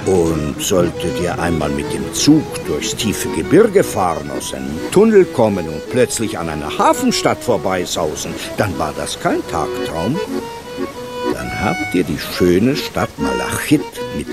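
A wistful fairy-tale storyteller for a radio play, with a low voice that swings widely in melody. He is theatrical and lyrical, lingering on phrases, with music playing along. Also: wildly swooping pitch, unhurried, gravelly, exaggerated voice. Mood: tender, lyrical. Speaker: narrator.

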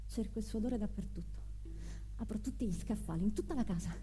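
A woman's voice: medium pitch, quick pace, dark tone, slight background noise, close to an everyday voice. An actress playing a spirited young single mother in a theatrical monologue, emphatic and given to repeating phrases. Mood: wistful. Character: spirited young single mother telling her life story. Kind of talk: theatrical first-person monologue with acted dialogue.

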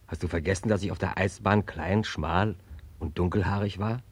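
An actor playing a mysterious, persistent male inner voice, incantatory, deliberate and probing, in 1940s radio drama style. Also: deep voice, fairly even intonation, breathy edge, no room sound. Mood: mysterious, insistent.

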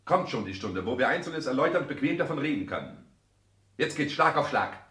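An actor playing a driven, domineering adult son in a German radio play, declaiming in a clipped, commanding manner. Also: male voice, mid-range voice, big pitch swings, fairly quick, a bit bright. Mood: impatient, commanding. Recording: roomy 1960s radio studio sound.

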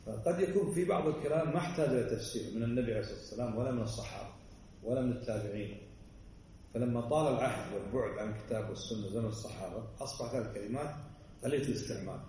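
Fast-talking man teaching in an echoey room. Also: mid-range voice, dark tone, a little hiss or hum. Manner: checks understanding with quick asides. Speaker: religious teacher. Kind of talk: explanatory religious lesson. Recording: roomy, distant mic, faint ambience.

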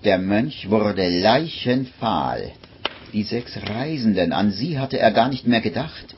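A man narrating an old radio play in a measured storytelling manner. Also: lowish voice, sing-song, background noise. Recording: muffled old radio recording, music behind. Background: music.

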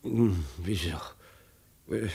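An actor playing an absent-minded, stammering old Chinese emperor and flustered father in a 1950s radio drama, with a husky voice. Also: deep voice, extremely slow, warm tone, exaggerated voice. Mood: hesitant, pleading. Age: older. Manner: absent-minded, stammering, repeating himself, chuckling.

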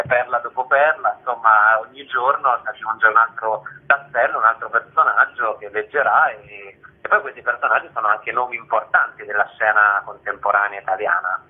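Male interview guest, mid-pitched, halting explanatory delivery, narrow telephone sound. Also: fairly even intonation, slightly rough. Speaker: theatre company member, interview guest. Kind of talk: spontaneous radio interview. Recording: muffled, tinny phone line.

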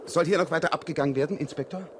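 A man's voice: on the high side, very fast, noisy background, close to an everyday voice. An actor playing a dutiful police constable reporting matter-of-factly to his superior in a 1970s radio drama. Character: dutiful, matter-of-fact male uniformed constable reporting to inspector.

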